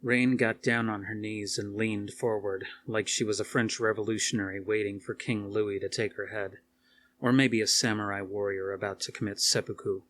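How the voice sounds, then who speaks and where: A man's voice: fairly low pitch, fairly even intonation, normal speed, fairly bright tone, a little hiss or hum. A man reading an action horror novel aloud as scripted narration, with a dramatic storytelling manner. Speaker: audiobook reader.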